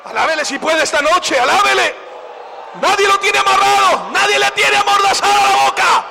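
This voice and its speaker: A man preaching a fervent sermon at a church service, exhorting the congregation in repeated shouted phrases. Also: very high voice, normal speed, gravelly, a faint crowd, poor audio.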